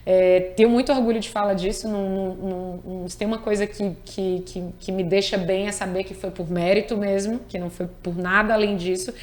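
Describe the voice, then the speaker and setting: A woman talks as an interview guest. Her pitch sits in a middle range. Her delivery is earnest and emphatic, and she often repeats key words. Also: fairly even intonation, brisk, hardly any pauses, a little mellow. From Piauí, Brazil. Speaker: tax lawyer, interview guest. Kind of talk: conversational interview.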